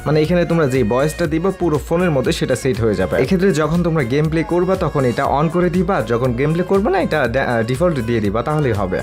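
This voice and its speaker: A man's voice: fairly high pitch, expressive, racing, few pauses, darkish tone, faint music behind. A man with a Bangladeshi accent presenting a scripted how-to voice-over as a tutorial video creator.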